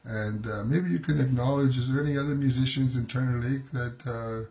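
A man's voice: mid-range voice, a little fast, a little mellow. Encouraging man in his forties asking questions. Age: 40s. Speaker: interviewer. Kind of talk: casual interview.

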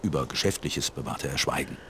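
Genial old village priest for an adventure game, low voice, lively melody, faint crows behind. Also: male voice, brisk, gravelly, a little hiss or hum, full character voice. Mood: measured, thoughtful. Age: older. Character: kindly old village pastor, measured and genial. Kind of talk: scripted adventure game dialogue.

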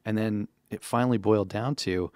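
A man's voice: on the low side, fairly quick, darkish tone, smooth, no room sound, clean studio sound. A man co-hosting a podcast, chatting casually and giving advice as a voiceover coach.

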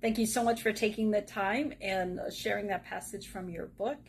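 A woman's voice: mid-range voice, fairly even intonation, normal speed, slight background noise. A woman acting as interviewer, introducing a guest and addressing viewers. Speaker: interviewer and magazine editor hosting.

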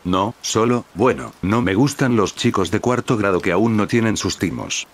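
Man doing a sly younger sister witch, teasing, storybook, deep voice. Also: normal speed, lightly acted.

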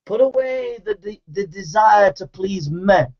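A man delivering a fiery, exhorting sermon, hammering phrases over and over and mockingly imitating flattering preachers. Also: sits high, a little slow, dull audio.

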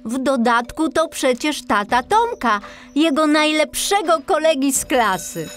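Slow, expressive woman storyteller. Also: sits high, soft background music. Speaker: narrator. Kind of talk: storybook narration for children.

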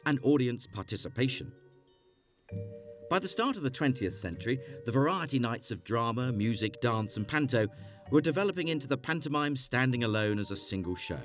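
A man reading scripted documentary narration. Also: mid-range voice. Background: music.

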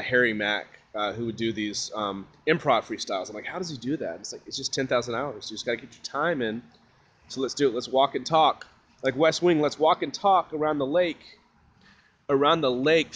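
A man's voice: a little high, a bit bright, slight background noise. A man in his thirties walking outdoors with a phone at arm's length, chatting loosely and breaking into improvised rap. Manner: loose, rambling, breaks into rhythmic rap and laughs. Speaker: rapper and vlogger. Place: outdoors at an RV park by a lake. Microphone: phone held at arm's length while walking.

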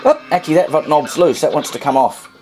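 A man talks through a hobby demonstration indoors. His voice sits a little high for a man. He is casual and unscripted, pausing and wandering into wry asides. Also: a little mellow, faint music behind. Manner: hesitant, rambling, wry asides. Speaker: vintage radio hobbyist demonstrating.

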